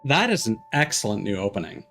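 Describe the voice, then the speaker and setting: A man chatting casually on a live game stream in a halting, polite, slightly formal way. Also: lowish voice, big pitch swings, pushed and bright, faint music behind.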